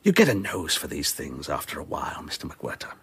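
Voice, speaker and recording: An actor playing a brusque, dismissive police inspector with a British accent and a patronising, sceptical manner in a radio drama. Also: male voice, very deep voice, wildly swooping pitch, a little fast, gravelly, sharp tone, breathy edge, dry recording, exaggerated voice. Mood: brisk, dismissive.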